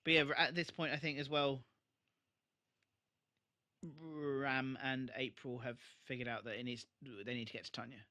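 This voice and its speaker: A man's voice: medium pitch, steady tone, measured pace, long pauses, bright, forceful tone. A man co-hosting a podcast, chatting casually in an agreeable, informal way. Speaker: podcast co-host. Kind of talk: casual chat, re-watch commentary.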